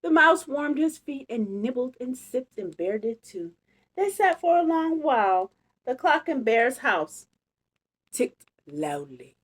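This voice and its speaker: A woman reading a picture book aloud as a storytime host, expressive and chatty with casual asides. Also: sits high, takes it slowly. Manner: animated, chatty, savouring phrases. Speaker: storytime reader.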